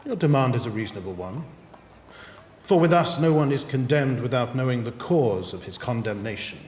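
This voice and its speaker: An actor playing a courteous, formal English magistrate handing down judgement in measured period-drama speech. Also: male voice, mid-range voice, lively intonation, a little mellow, close to an everyday voice. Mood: solemn, stern. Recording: long hall reverb, background noise.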